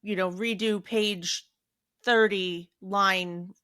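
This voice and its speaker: A woman's voice: mid-range voice, fairly even intonation, very slow, pushed and bright, smooth. A woman podcast host chatting casually with a guest, swearing freely and giggling. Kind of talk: casual chat.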